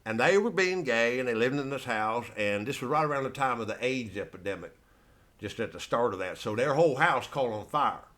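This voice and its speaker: A man's voice: medium pitch, expressive, fairly quick, fairly bright tone. An American man doing stand-up as a rambling comic storyteller, with a folksy, colloquial and meandering delivery. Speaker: stand-up comedian.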